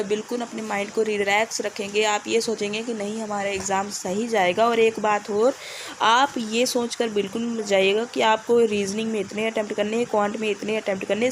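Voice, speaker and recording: Young woman, hoarse throat, fever, kinda high voice, lots of uh pauses while giving exam tips. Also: a little hiss or hum, rough recording. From India. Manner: hesitant, with many "uh" pauses. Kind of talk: casual exam-advice vlog.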